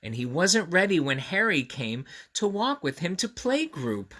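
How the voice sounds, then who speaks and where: A man reading a storybook aloud to children, expressive and confiding, pausing to put questions to the listener. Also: on the high side. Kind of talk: animated read-aloud with friendly asides to children.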